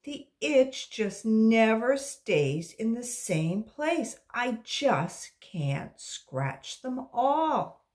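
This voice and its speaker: Woman acting a whiny, itchy little schoolgirl, high-pitched. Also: big pitch swings, very slow, darkish tone, heavily acted. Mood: exasperated.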